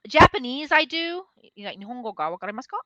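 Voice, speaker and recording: A woman of forty, an English tutor from the United States, chatting excitedly with a student and laughing often. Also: high voice, quick pace, no room sound, muffled recording. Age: 40s. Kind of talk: online lesson conversation. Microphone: video call.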